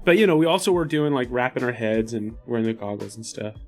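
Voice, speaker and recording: A man's voice: mid-range voice, fairly even intonation, fast talker, soft background music. A man playing a tabletop RPG, improvising casually in character in a hesitant, rambling way. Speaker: player in a tabletop RPG podcast.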